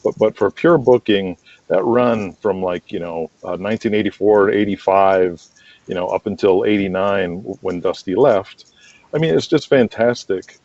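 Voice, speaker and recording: Male interview guest, lower register, rambling enthusiast, casual delivery, muffled lo-fi audio. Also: normal speed, darkish tone, no echo. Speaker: interview guest, wrestling promoter and booker.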